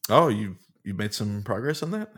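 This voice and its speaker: A man's voice: fairly low pitch, animated, moderate pace, fairly bright tone, slightly rough. A man playing a blunt, combative bunker sidekick, co-hosting a comedic radio drama. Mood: casual interest. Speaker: co-host.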